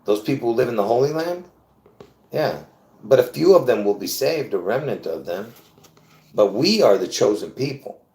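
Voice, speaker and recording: A man's voice: medium pitch, lively intonation, moderate pace, a little mellow. A man hosting a casual solo livestream, talking in a rambling, chatty way with frequent laughs and restarts. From born in Lebanon. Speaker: livestream host. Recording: roomy echo.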